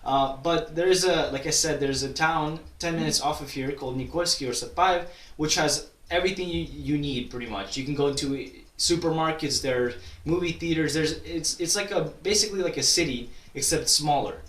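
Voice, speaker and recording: A young man from Kazakhstan with a slightly higher voice chats loosely through viewer questions, stumbling and restarting often. He sounds set back from the mic in an echoey room. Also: fairly quick, fairly bright tone. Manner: rambling, many restarts and fillers. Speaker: YouTuber. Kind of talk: casual Q&A vlog. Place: at his grandmother's town in Kazakhstan. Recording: roomy echo, distant from mic.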